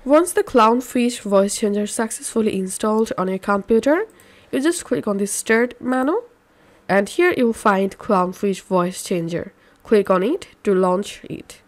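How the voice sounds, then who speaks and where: A woman presenting a scripted step-by-step software tutorial in an instructional manner with simple phrasing. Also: mid-range voice, measured pace.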